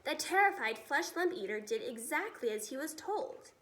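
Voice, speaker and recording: A woman reading a children's story aloud as expressive scripted narration. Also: sits high, normal speed. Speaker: storybook reader.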